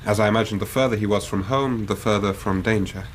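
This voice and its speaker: Man acting anxious young victorian gent, lowish voice, formal n earnest, radio drama, bit of hiss. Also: fast talker, close to an everyday voice. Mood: earnest, grave. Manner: formal, earnest, precise period speech. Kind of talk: radio drama acting, narrating his own story.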